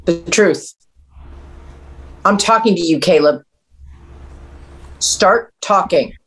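A woman's voice: lowish voice, animated, slow, fairly bright tone, rough recording. A woman playing a firm, exasperated mother who protects her son, insistent in a script table read. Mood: angry. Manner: confrontational, insistent. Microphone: video call.

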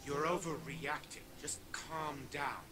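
A haughty old scientist in a robot body. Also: male voice, a little high, fairly even intonation, slow, noisy background. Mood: defiant. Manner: cold, condescending, self-assured. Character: arrogant old male scientist in a robot frame. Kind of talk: video game cutscene voice acting.

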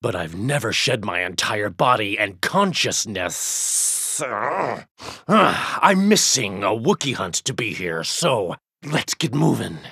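A man playing a gruff, cunning, theatrical reptilian alien bounty hunter with a raspy, gravelly voice in a video game. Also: on the low side, very animated, slow, sharp tone, no room sound, clean studio sound, exaggerated voice. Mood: menacing, haughty. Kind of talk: video game character acting.

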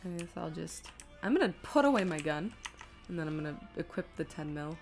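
A woman's voice: deep voice, very animated, fairly quick, faint music behind. A woman streaming a video game, thinking aloud in casual commentary with self-deprecating, exasperated asides.

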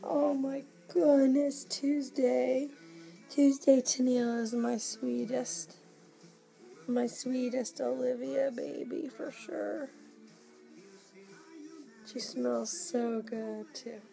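A woman with a hoarse, coughing voice, chatting casually to camera in a nursery at home and cooing softly over a baby doll. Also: high voice, fairly even intonation, drawn-out words, long pauses, poor audio. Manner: gushing, coos softly to the doll. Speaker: reborn doll collector vlogger. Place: home nursery room, indoors. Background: Christmas music playing.

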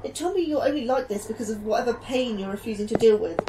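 Anxious female astrobiologist, actress, higher-pitched, guilt-ridden, sci-fi horror drama, noticeable background noise. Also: fast, dark tone, lightly acted, rough recording. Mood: angry, pleading. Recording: clear background noise and ambience.

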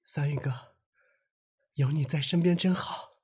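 A man playing a timid, gentle youth in a radio drama, polite and soft-spoken. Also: on the high side, fairly even intonation, measured pace, lightly acted. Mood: wistful, troubled. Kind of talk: web radio drama acting.

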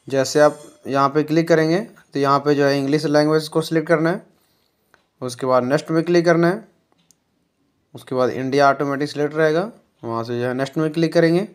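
Unhurried man explaining steps. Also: fairly high pitch. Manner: unhurried, explanatory, fills pauses with "jo hai". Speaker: tech tutorial presenter. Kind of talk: step-by-step phone tutorial.

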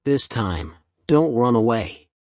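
A woman voicing a deep, sly jackal. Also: very animated, slow pace, darkish tone, no echo, studio quality, exaggerated voice. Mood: scheming. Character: cunning male jackal, scheming and persuasive. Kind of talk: storybook reading.

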